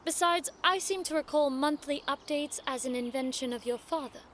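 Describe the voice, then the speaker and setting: An actress plays a prim young noblewoman with a sharp tongue in an audio drama. Her voice sits high, formal and arch with a sarcastic edge, over faint background noise. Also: normal speed. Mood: arch, teasing.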